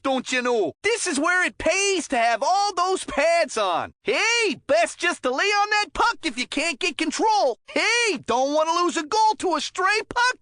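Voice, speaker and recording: A woman voicing an excitable, folksy, cheeky hockey commentator in a cartoon sports video game. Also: extremely high pitch, no echo, clean studio sound, full character voice. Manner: folksy, exclamatory, coaching slang.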